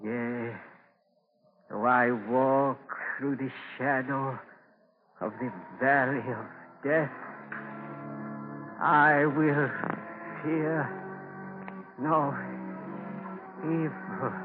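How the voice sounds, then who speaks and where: An actor playing a gentle, earnest, devout Native guide with solemn, measured phrasing in a 1940s radio drama. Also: male voice, mid-range voice, fairly even intonation, music in the background. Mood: dying, peaceful. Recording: noisy, tinny 1940 radio broadcast.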